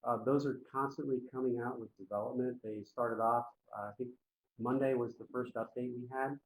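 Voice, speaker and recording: A man's voice: mid-range voice, fairly even intonation, a little fast, poor audio. A man presenting an informal public health briefing on a conference call, explaining in plain, folksy wording.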